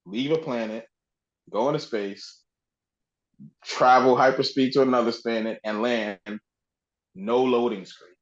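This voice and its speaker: A man's voice: mid-range voice, measured pace, pauses often, muffled recording. A man co-hosting a podcast, chatting informally and conversationally about video games. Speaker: podcast co-host. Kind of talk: casual video game discussion.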